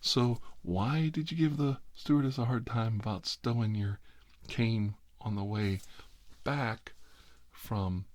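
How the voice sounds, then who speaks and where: A man's voice: lowish voice, takes it slowly, dry recording. A middle-aged American man telling a rambling story in ASMR style, with a wry, sarcastic, exasperated manner.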